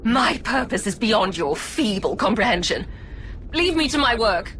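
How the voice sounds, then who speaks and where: A theatrical female Sith villain in a video game. Her voice is high and swings dramatically, dripping with disdain in ornate, grandiose phrases. A faint noise sits behind her. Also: very animated, normal speed, fairly bright tone. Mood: contemptuous. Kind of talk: video game cutscene acting.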